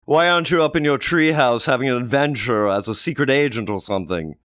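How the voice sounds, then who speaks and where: A man's voice: medium pitch, fast talker, fairly bright tone, no room sound, studio quality, pitched above the performer's own voice, natural voice. A man doing a prim, lecturing mother. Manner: firm, reassuring, prim.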